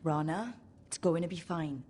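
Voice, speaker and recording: An actress playing a warm, encouraging young heroine, earnest and lightly teasing, in a cinematic game scene. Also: female voice, sits low, normal speed. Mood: concerned. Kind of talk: cinematic video game acting.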